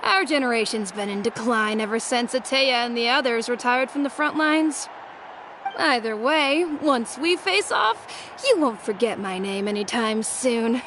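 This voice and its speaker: An actress voicing a cocky, taunting veteran fighter in a video game, swaggering and teasing. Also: female voice, fairly high pitch, animated, unhurried, a little hiss or hum. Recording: game music behind.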